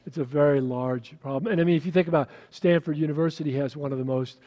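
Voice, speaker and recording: Higher voiced guy, mellow, talking school admin stuff calmly on a panel, echoey hall. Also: fast talker, highs cut off. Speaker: school superintendent. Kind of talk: panel discussion. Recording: reverberant hall.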